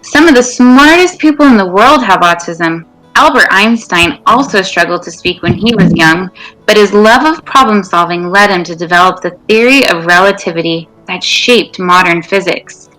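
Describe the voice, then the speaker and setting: A woman's voice: mid-range voice, animated, moderate pace, low-quality recording. A woman reading a children's picture book aloud. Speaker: reader. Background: soft music.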